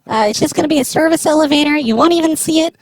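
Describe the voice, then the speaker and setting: A woman's voice: sits high, racing, no echo, lightly acted, poor audio. A woman playing a flippant, dismissive city official on an improvised prank call, blunt and nonchalant.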